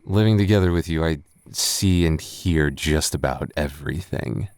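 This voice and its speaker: A man's voice: very deep voice, moderate pace, a little mellow, no room sound, clean studio sound. A man acting a tipsy young roommate who is teasing, flustered and secretly smitten, stammering and rambling in a boyfriend roleplay audio. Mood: defensive, flustered.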